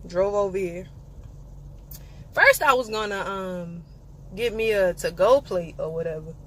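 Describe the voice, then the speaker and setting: Animated young woman telling a story. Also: medium pitch, a little hiss or hum. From eastern North Carolina. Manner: animated, playful storyteller with sassy asides. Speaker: vlogger. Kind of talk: casual storytime vlog.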